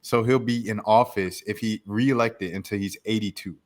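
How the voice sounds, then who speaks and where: A man's voice: on the low side, fairly even intonation, normal speed. A young man hosting a podcast in casual, easygoing chat full of slang. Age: young adult. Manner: easygoing, chuckling, drifts into slang. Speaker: podcast host.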